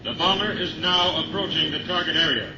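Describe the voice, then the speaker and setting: An actor playing a military announcer giving clipped, formal countdowns over a loudspeaker in a 1950s radio drama. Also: male voice, fairly high pitch, fairly quick, roomy sound, noisy background, close to an everyday voice. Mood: urgent.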